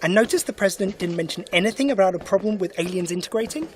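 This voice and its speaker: An actor playing a sharp, principled alien adventurer in an audio drama, brisk and inquisitive. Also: male voice, sits high, expressive, slight background noise. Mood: thoughtful, brisk. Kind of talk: full-cast audio drama acting.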